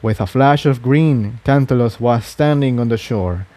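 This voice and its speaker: A man narrates an adventure story in a mellow, dark voice. The recording is dry and close, and his delivery is that of scripted reading. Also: mid-range voice, unhurried, dry recording. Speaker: fan fiction reader.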